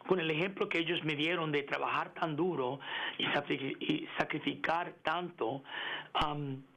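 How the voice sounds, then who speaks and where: Middle-aged man, gravelly, a bit high, hesitant, lots of fillers, radio interview. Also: steady tone, sharp tone, poor audio. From United States. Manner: halting, many fillers and restarts, mixes English words. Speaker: interview guest, engineering executive. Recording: radio broadcast.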